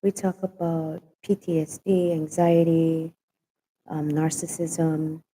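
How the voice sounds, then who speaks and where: Woman, late 40s, very dark mellow tone, reverberant room. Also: sits low, flat delivery, a little hiss or hum. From Hawaii. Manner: slow, halting, many fillers and restarts. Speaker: host of a live stream. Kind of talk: casual live-stream chat.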